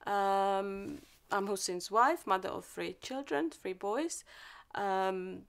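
Czech woman in her thirties, calm mid-pitched voice, lapel-miked on a sofa at home, plain and friendly. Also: takes it slowly, gravelly. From Czech Republic. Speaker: interviewee. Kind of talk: documentary interview. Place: living room at home.

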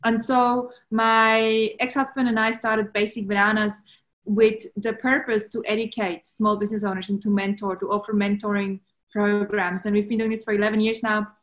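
A woman in her late thirties from Switzerland with a Swiss accent, chatting as a guest over a video call from a home office, lively and quick to laugh. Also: mid-range voice. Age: 30s. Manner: lively, laughs often, restarts sentences. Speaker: guest entrepreneur. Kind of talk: casual interview chat. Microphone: video call webcam.

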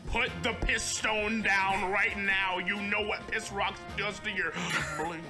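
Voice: medium pitch, steady tone, takes it slowly, close to an everyday voice. A performer voicing a crude, megalomaniac male villain in an improvised comedic game fandub, bombastic and profane with dramatic threats. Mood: threatening. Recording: voice under loud game music.

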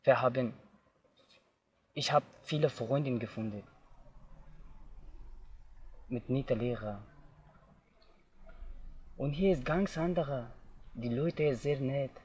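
A young man from Afghanistan, telling his own story outdoors in simple, halting learner's German. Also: mid-range voice, darkish tone, breathy edge. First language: not German. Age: young adult. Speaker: interviewee. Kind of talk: personal testimony. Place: outdoors in tall grass.

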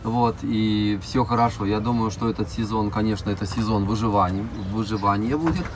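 A middle-aged man giving a casual guided tour in short, unhurried phrases with frequent pauses. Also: on the low side, background noise. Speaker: zoo director giving an interview and tour. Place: open cab of a small vehicle driving through an outdoor park.

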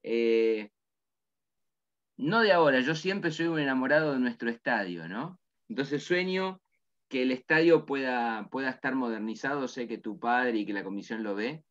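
An older man with an Argentine accent, interviewing over a video call, chatty and animated. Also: medium pitch, normal speed, dull audio. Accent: Argentine Spanish. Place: office with bookshelves, indoors. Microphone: webcam mic on a video call.

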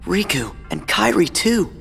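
An earnest teenage boy hero in a video game. He has a high, youthful, husky voice that moves around expressively. It echoes as in a long hall. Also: wildly swooping pitch, measured pace, a bit bright, heavily acted. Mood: worried. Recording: long hall reverb over background music.

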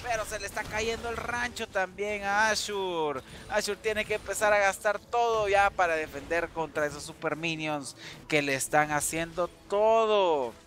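A man from El Salvador with a Salvadoran Spanish accent, casting a live game tournament in a joking, excitable, slangy way. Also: sits high, normal speed, soft background music. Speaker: esports caster.

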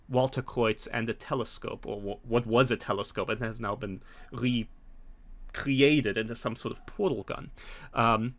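A middle-aged man with a medium-pitched voice narrates a tabletop game as game master. He explains in a halting way, pausing often, and is recorded over a video call at home. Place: home room, indoors.

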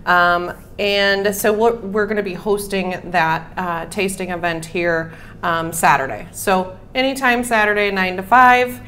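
A woman's voice: mid-range voice, steady tone, unhurried, a bit bright. A woman in her thirties speaking as a guest from a local business, explaining casually. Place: small bar room, indoors. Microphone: clip-on lapel mic. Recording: faint room noise.

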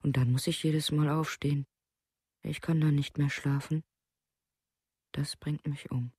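A haunted man in a documentary radio play. His husky, dark voice stays within a narrow range as he makes a halting, anguished confession, recorded very dry. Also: a little high, flat delivery, no room sound. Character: haunted man, sleepless with guilt and grief.

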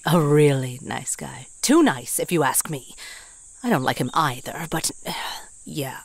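A woman's voice: sits low, expressive, a little slow, vocal fry, no echo, heavily acted. A woman voicing an aloof devil creature in an anime dub, curt, muttering and distrustful of humans. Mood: grudging. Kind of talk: anime manga dub acting.

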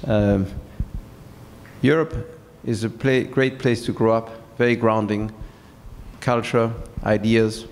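A middle-aged man from France, speaking as a guest on stage in a large hall, pausing with many fillers. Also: lowish voice, fairly even intonation, darkish tone. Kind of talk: onstage conversation. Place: large event hall with seated audience. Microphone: handheld mic.

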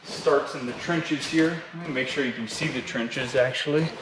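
A man's voice: mid-range voice, normal speed, background noise. A young man filming himself at a bouldering gym, talking casually to the camera between climbs, laid-back and slangy, with some swearing. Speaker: climbing vlogger. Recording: echoey gym with music behind. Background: background music.

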